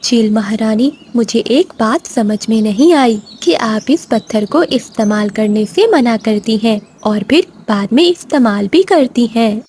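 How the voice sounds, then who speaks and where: A woman's voice: a little high, expressive, a little slow, hardly any pauses, darkish tone, a little hiss or hum. A woman voicing an anxious, respectful mother pigeon in a bird cartoon, earnest and pleading. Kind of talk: animated moral-story cartoon dub.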